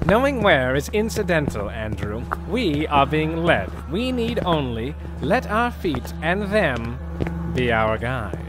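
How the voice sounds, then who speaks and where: A man playing an eccentric, vengeful undead mad scientist in an audio drama, with a wry, pompous, drawn-out delivery. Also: a little high, very animated, loud music behind the voice.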